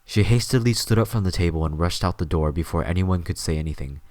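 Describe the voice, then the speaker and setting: A man narrates a scripted story in a low-pitched voice. The recording is close and dry, with hardly any room sound. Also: quick pace, a little mellow, no echo.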